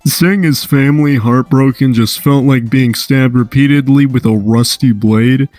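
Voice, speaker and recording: A man's voice: mid-range voice, fairly even intonation, unhurried, darkish tone, no room sound, faint music behind. A man casually reading a story aloud, breaking off now and then to chat and swear. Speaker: amateur fan fiction reader.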